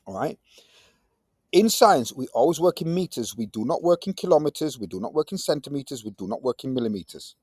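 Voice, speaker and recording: Lively Yorkshire man tutoring. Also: medium pitch, fairly quick, dry recording, studio quality. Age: 50s. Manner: chatty, lively teacher talk with British slang. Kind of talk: recorded tutoring lesson.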